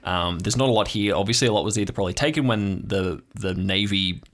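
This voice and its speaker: A man's voice: on the low side, fast, fairly bright tone. A man narrating as game master in an improvised tabletop story, describing scenes in an informal, conversational way.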